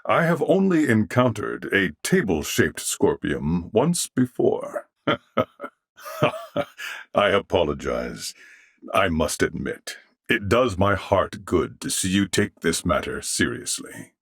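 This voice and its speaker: A male actor playing a calm, courteous swordsman in a video game, speaking in formal, measured phrases. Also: sits low, breathy edge, dry recording, clean studio sound, exaggerated voice. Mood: polite, thoughtful. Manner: formal, archaic, measured diction. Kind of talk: scripted video game character dialogue.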